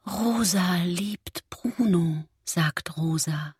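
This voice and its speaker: An actress playing a terse, deliberate lover in an absurdist radio play. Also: female voice, on the low side, darkish tone, breathy edge, exaggerated voice. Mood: hushed. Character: Rosa, breathy lover in an absurd mini love drama.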